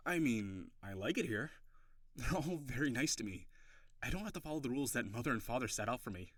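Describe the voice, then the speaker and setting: Man acting a young male pirate of noble birth, audio drama, teasing, easily flustered, fond, expressive mid-range voice, dry recording. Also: medium pitch, no room sound. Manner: teasing, flustered protests with hesitations. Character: young runaway nobleman turned pirate, playful, defensive, fond. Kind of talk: intimate audio roleplay acting, one side of a dialogue.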